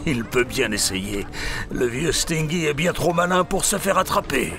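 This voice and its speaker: An actor playing a cruel, cunning old drunkard blacksmith in an audio drama, with a gruff, gravelly, muttering delivery. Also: male voice, a little high, a little slow, music in the background. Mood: sly, boastful. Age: older. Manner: gruff, drawling, muttering hesitations. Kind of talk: French audio fiction acting.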